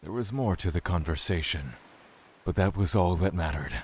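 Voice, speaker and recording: A man's voice: deep voice, darkish tone, a little hiss or hum, close to an everyday voice. A man playing a weary, brooding god who solemnly recounts his love and exile in an audio drama, with music underneath. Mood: somber, reflective. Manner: slow, solemn, brooding storytelling.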